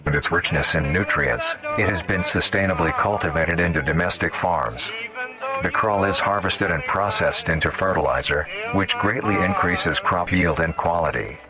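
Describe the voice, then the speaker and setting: Man acting as a deep-voiced clinical documentary narrator. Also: normal speed, natural voice. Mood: clinical, detached. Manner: formal, clinical. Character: formal scientific narrator of an analog horror tape. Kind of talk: scripted mock-documentary narration.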